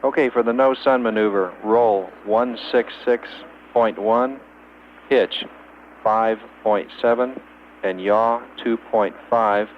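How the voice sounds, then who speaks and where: Low, flat male mission radio operator. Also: slow. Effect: two-way space radio. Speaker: capsule communicator at mission control. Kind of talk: procedural radio communication. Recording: muffled, hissy archival radio link.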